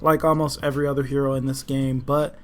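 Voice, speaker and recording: A man hosts a gaming news video in a casual, opinionated way. His voice sits at an ordinary male pitch. Music plays behind him as he talks. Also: little pitch movement, fast. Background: background music.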